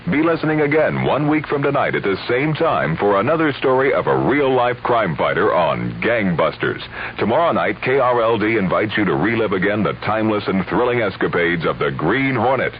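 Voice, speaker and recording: Deep-ish male station announcer, measured and formal, lively up and down, muffled lo-fi sound with faint hiss. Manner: measured, formal broadcast delivery. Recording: dull lo-fi radio broadcast.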